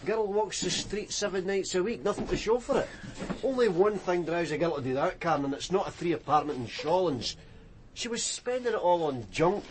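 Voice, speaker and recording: A man's voice: high-pitched, animated, a little fast, slight background noise. An actor playing a cynical, plain-speaking male police detective, dry and blunt, in radio drama. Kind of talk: naturalistic radio drama acting.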